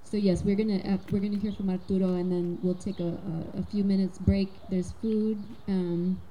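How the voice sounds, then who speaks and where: A woman's voice: medium pitch, little pitch movement, normal speed, mellow, a little hiss or hum, rough recording. A woman facilitating a community panel, thanking speakers and introducing the next guest, with frequent fillers.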